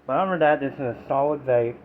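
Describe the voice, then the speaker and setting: A man in his twenties speaks in a nasal voice, with a halting, rambling delivery broken into short fragments. He sounds like a casual vlogger talking to his viewers. The audio is very thin and phone-like, with faint noise behind him. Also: mid-range voice. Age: 20s. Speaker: YouTube vlogger reviewing products. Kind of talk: casual chat to camera, informal product review.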